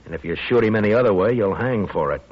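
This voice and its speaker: An actor playing a blunt, world-weary frontier marshal with firm authority in a 1950s radio western. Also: male voice, fairly low pitch, animated, quick pace, husky. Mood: firm warning. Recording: dull vintage 1950s radio recording.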